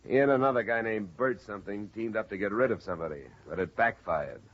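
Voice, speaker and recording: An American actor playing a tough, wry insurance investigator in a clipped 1950s radio detective style. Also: male voice, lowish voice, quick pace, lightly acted. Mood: pressing, cool. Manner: clipped, hard-boiled. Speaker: lead actor and narrator. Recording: dull vintage 1950s radio recording.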